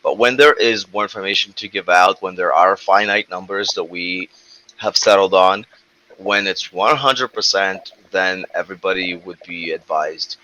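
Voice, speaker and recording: A man with a low, bright, forceful voice runs an online question session in a brisk, businesslike way. The audio is dull and lo-fi, with a faint hiss of noise behind him. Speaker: host running a community Q&A call. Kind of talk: moderating an online call.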